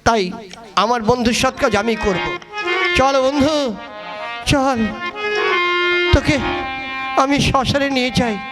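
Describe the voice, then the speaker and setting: A man voicing a stubborn, grumbling friend in a comic puppet play, exclaiming in rough everyday speech. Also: extremely high pitch, steady tone, a little slow, pauses often, over loud music. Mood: determined, grumbling.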